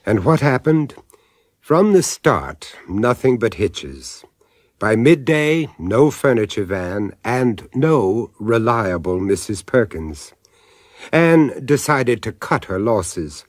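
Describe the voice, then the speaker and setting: Horror radio narrator,; mid-pitched, suave and wry, expressive storytelling, very dry studio sound. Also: male voice, slow, no echo. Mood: suspenseful narration.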